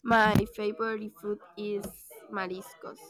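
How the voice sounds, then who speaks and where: Teen girl, slow careful English, interview practice. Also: mid-range voice, level tone, fairly bright tone.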